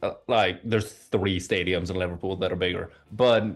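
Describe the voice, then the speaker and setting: A man's voice: sits low, fairly even intonation, normal speed, soft background music. A man from Oslo who grew up bilingual in English and Norwegian, chatting informally as an interview guest and often repeating words as he thinks. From Oslo, Norway. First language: bilingual English and Norwegian from childhood. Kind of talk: casual conversational chat.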